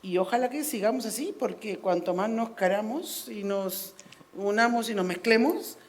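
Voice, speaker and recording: A woman's voice: mid-range voice, animated, normal speed. A middle-aged woman from Latin America speaking on a panel, telling personal stories with fillers and "¿no?" tag questions. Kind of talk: panel discussion.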